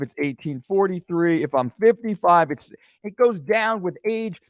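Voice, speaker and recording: A man's voice: fairly high pitch, dry recording, clean studio sound. A man in his forties talking through a screen-share fitness lesson, with joking asides. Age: 40s. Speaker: fitness coach.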